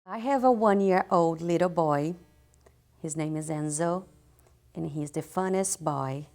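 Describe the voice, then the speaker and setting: A woman talks warmly and earnestly. Her voice sits low and carries a gravelly fry. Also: measured pace. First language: Portuguese. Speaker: interviewee. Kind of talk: casual interview talk.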